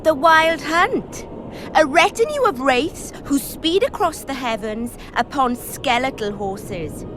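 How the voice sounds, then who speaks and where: An actress playing a learned woman scholar in a fantasy video game, speaking in a formal, deliberate and erudite manner. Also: high-pitched, animated, background noise. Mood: scholarly.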